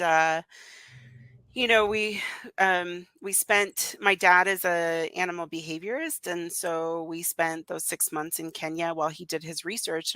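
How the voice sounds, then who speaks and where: A woman's voice: lowish voice, pushed and bright, no echo. A woman whose first language was Russian, talking casually as an interview guest, with many fillers and restarts. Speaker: interview guest, communications business founder. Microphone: remote call.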